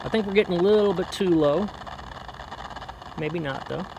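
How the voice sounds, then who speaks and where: A man with a slightly high voice gives a casual, chatty demonstration outdoors. The recording carries clear background noise. His delivery is offhand, like a homemade product review, with folksy turns of phrase. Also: normal speed, lo-fi sound. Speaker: radio reviewer, vlogger. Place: outdoors in light rain.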